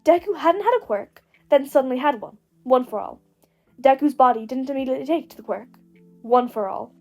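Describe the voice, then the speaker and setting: A woman narrates fiction in a high voice. Her delivery is scripted and expressive, with faint music behind it. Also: brisk. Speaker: podfic narrator.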